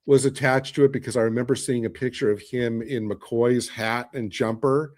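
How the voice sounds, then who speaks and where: A man's voice: mid-range voice, fairly even intonation, moderate pace, darkish tone. A man with a mid-range voice chats as a podcast panelist. He is casual and conversational, talking in an anecdotal style. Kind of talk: casual chat.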